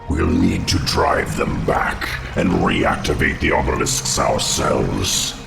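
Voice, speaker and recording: An ancient undead insect lord from a fantasy game, rumbles at an extremely low pitch. The voice is heavily gravelly and hollow, with slow, solemn phrasing. It echoes under music. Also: male voice, big pitch swings, heavily acted. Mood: grave, advising. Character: ancient giant undead insect king, grave loyal guide. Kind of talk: fantasy video game acting. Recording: echoing voice under loud music.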